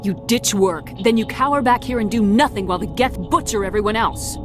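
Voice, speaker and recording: A blunt, scornful commander, voiced by a woman, with sarcastic and cutting delivery. Her pitch is mid-range, and a clear game score runs behind her. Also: brisk, close to an everyday voice. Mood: stern. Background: game score music.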